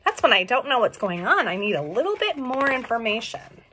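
Woman, middle-aged, lively swooping pitch, bright tone, picture-book read-aloud, simple explanations for kids. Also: fairly quick, slight background noise. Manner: expressive, questioning, explaining to children. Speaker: read-along storyteller for young children. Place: small room at home.

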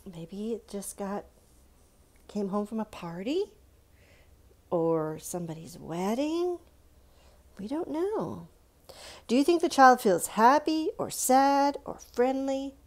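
A woman presenting an art lesson for children, explaining in short halting phrases and asking the young listeners simple questions. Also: a little high, expressive.

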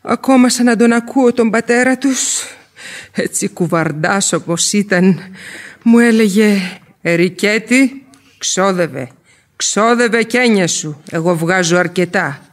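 A woman's voice: mid-range voice, close to an everyday voice. An actress playing a garrulous, complaining, impoverished widow and mother in a radio play, lamenting at length. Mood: aggrieved lamenting. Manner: plaintive, long-winded lamenting. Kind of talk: radio theatre acting in Greek.

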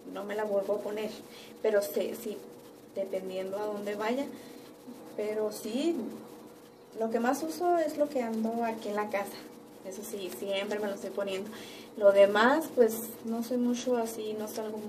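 A young woman from Mexico with a Mexican accent, chatting casually and a little haltingly to camera in her living room. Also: mid-range voice. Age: young adult. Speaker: vlogger. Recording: distant mic, noisy room ambience.

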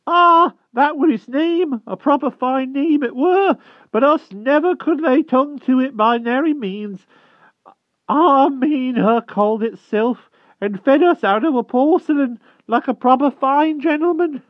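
A squeaky trembling old hedgehog. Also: male voice, a little slow, dry recording. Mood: pleading. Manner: thick rustic country dialect, quavering and pleading. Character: timid old rustic male hedgehog, humble and trembling. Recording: tinny phone-like dry sound.

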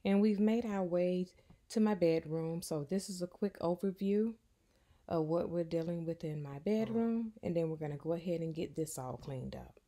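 A woman's voice: on the low side, moderate pace, heavy background noise. A woman in her fifties narrating a cleaning vlog in a chatty, affectionate voice-over, often calling her viewers y'all. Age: 50s. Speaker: cleaning vlogger.

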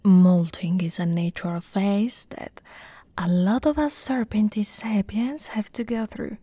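A young woman playing an affectionate, teasing lamia girlfriend in an ASMR roleplay, soft-spoken and often whispering, with a breathy, coaxing delivery close to the mic. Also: mid-range voice, fairly even intonation, no echo. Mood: reassuring. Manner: slow, breathy, coaxing delivery with pet names. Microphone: close, dry microphone.

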